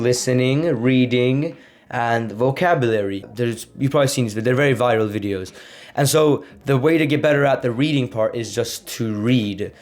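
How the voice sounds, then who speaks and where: A teenage boy from Sweden chats straight to camera in a mid-pitched voice. He rambles loosely, filling his talk with "like" and "you know what I mean," and sounds like a casual video blogger. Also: darkish tone. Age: teenager, fifteen. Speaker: language-learning YouTuber. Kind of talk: casual talking-head vlog.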